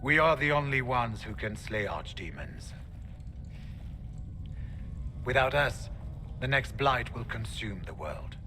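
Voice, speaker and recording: An actor playing a grave, loyal warrior burdened by secrets, speaking in a solemn, measured way in a fantasy game. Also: male voice, medium pitch, sharp tone, a little hiss or hum. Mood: grave, urgent. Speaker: warden ally. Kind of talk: dramatic game dialogue.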